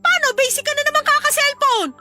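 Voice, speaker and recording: Very high, sharp-voiced fed-up Filipino wife. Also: female voice, fast talker, faint music behind. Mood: scolding. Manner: scolding, exasperated, melodramatic. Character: fed-up pregnant young wife and mother, sharp-tongued. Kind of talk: Filipino radio drama acting.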